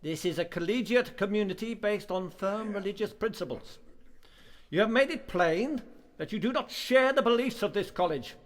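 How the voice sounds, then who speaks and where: An actor playing a stern, measured college master in a radio play, speaking formally and with authority. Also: male voice, high-pitched, animated. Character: stern, measured male college master presiding over a hearing. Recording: echoey hall with long reverb.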